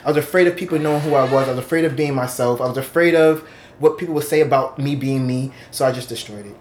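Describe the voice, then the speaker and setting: A man's voice: mid-range voice, fast talker, darkish tone, slight background noise. A man in his thirties from Long Island, speaking candidly and reflectively as an oral history interviewee, recorded in an office. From Long Island, New York. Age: 30s. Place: office indoors.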